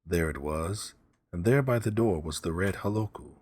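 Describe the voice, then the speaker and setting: A man narrating a story as an audiobook reader, in measured, simple phrasing. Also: deep voice, a little mellow, slightly rough, dry recording.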